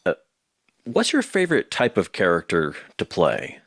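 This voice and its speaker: Male interview host, deep animated voice, casual conversational delivery. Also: moderate pace, no echo, studio quality.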